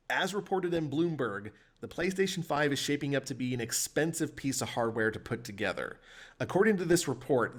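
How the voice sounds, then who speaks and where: A man co-hosting a podcast, chatting and commenting on news in a blunt, opinionated, casually sweary way. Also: mid-range voice. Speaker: podcast co-host.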